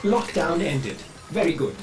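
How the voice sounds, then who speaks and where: A man's voice: on the high side, very animated, unhurried, noisy background. An actor playing a loyal male artificial intelligence aboard a ship in a video game, earnest, precise and fussy. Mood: alert. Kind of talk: video game companion dialogue.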